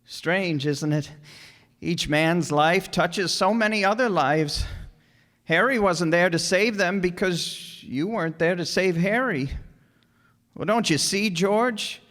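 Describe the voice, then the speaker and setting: An actor in a radio play as a gentle, flustered apprentice angel, earnest and hesitant. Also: male voice, high-pitched, slow pace. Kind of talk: live radio play acting. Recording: stage hall with long reverb.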